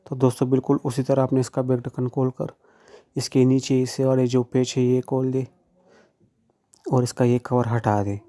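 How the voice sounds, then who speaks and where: A man's voice: mid-range voice, little pitch movement, normal speed, dark tone. A man giving plain, informal step-by-step how-to instructions, speaking directly to the viewer. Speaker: phone repair tutorial presenter.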